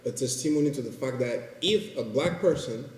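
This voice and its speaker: A man's voice: medium pitch, normal speed, a little mellow. Man heard from afar in a roomy space. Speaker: documentary interviewee. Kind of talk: interview conversation. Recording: roomy echo, distant from mic.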